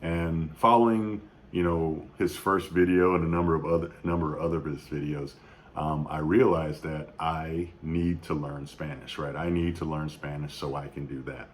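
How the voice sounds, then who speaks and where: A man in his thirties speaking off the cuff in a somber, reflective video tribute, halting often with fillers and restarts. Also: rumbling low, steady tone. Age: 30s. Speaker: YouTube vlogger. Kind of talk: unscripted personal tribute.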